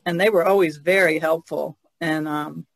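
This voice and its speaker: Creaky-voiced woman on a podcast. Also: deep voice, fairly even intonation, moderate pace, no room sound. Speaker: podcast panelist and painter. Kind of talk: casual podcast chat.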